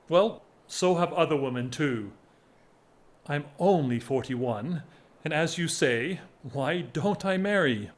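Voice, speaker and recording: A middle-aged English gentleman in a period radio play, lovesick and whimsical. His voice sits fairly high for a man and moves very slowly, with measured, theatrical phrasing and wry self-mockery. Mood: exasperated devotion. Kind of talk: period audio drama acting.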